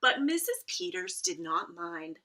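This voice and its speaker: A woman's voice: on the low side, big pitch swings, measured pace, sharp tone. A middle-aged woman, a school librarian, talking and reading aloud to children at home, addressing them directly and drawing out key words. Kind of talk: casual chat and read-aloud storytelling for children.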